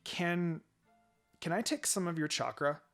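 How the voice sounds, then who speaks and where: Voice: mid-range voice, animated, measured pace, gravelly, a bit bright, faint music behind. A narrator voicing a shy young female ninja who talks in a hesitant, rambling way.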